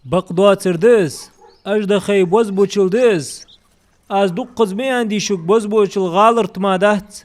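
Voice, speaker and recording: Man voicing a high-pitched cartoon male. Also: normal speed, lightly acted. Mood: talkative. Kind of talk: animated cartoon dubbing.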